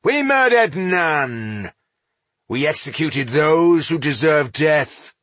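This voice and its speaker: Fantasy audiobook dwarf king read by a man, contemptuous and proud, deliberate delivery with swooping pitch. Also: animated, fairly bright tone, husky, no room sound, heavily acted. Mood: defiant. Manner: haughty, dismissive. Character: proud, callous red-browed male dwarf warlord, self-appointed High King.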